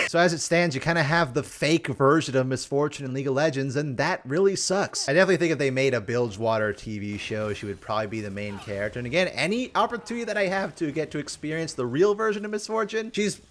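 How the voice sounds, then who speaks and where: A man giving casual, opinionated video commentary on a game, with animated asides and some casual swearing. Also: fairly high pitch, quick pace. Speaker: video game champion reviewer.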